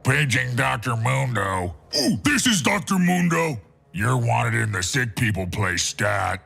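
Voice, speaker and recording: A man playing a big, dim-witted, crazed brute who thinks he is a doctor, in a video game, speaking gravelly broken grammar with a booming delivery. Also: very animated, measured pace, pushed and bright, faint music behind, heavily acted. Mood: mock-professional. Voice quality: gravelly, raspy. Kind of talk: video game character voice lines.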